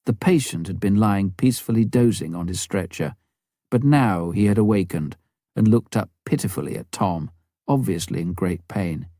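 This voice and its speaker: A man reading a scripted story as an audiobook narrator, measured and dramatic, with deliberate pauses. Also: low-pitched, animated, mellow, dry recording, studio quality. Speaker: audiobook reader.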